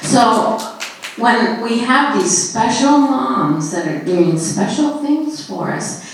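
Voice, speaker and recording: Slow-talking woman in an echoey church. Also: fairly high pitch, animated, creaky. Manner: simple, encouraging talk to young children. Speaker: children's message leader at church. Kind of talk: children's sermon, asking children questions. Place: large echoey church room. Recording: echoey church, distant mic, audience noise.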